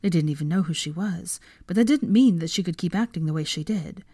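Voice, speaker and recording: A woman narrating a scripted story as an expressive reader of fan fiction. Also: on the low side, fairly quick, dry recording, studio quality.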